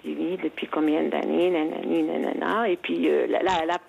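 A woman's voice: sits low, fairly even intonation, moderate pace, gravelly, darkish tone, husky, highs cut off. A woman from Algeria calling in to tell a personal story, hesitant and full of restarts. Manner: hesitant, many restarts and fillers. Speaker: caller.

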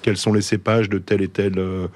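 A man from the Ardèche, a chef, talking as a radio guest in a relaxed, hesitant way. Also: deep voice, moderate pace. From Ardèche, France. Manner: relaxed, hesitant, restarts phrases. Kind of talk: casual interview. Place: radio studio. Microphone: studio mic.

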